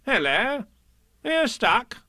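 A man voicing a fussy, brisk rabbit in a children's story, polite and faintly pompous with a clipped, stern edge. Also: very high voice, sing-song, bright, forceful tone, no room sound, studio quality, voice pushed up, heavily acted.

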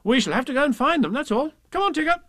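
A man's voice: extremely high pitch, very animated, quick pace, fairly bright tone, no room sound, studio quality, pitched above the performer's own voice, full character voice. A man voicing an eager young boy in a storybook reading.

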